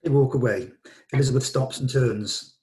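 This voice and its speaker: A man's voice: medium pitch, moderate pace, a little mellow. A man narrating stage directions from a script at a table read.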